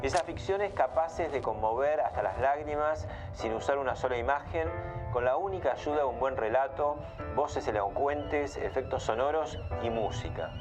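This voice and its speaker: A man hosts with measured, formal scripted documentary narration. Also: medium pitch, music in the background. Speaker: program host.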